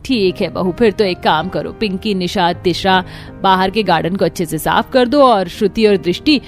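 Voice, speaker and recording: A woman's voice: medium pitch, moderate pace, faint music behind, close to an everyday voice. A woman voicing a stern, bossy middle-aged mother-in-law in a cartoon story. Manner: stern, commanding.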